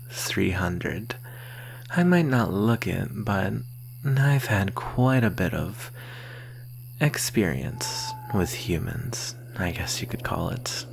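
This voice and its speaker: Centuries-old vampire ASMR actor in role: deep-set, breathy, whispery, predatory and teasing, very drawn-out delivery, faint hum behind. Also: exaggerated voice. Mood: amused, musing. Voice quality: breathy, often dropping to whisper, creaky. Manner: slow, teasing, predatory drawl. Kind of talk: ASMR roleplay, slow close monologue.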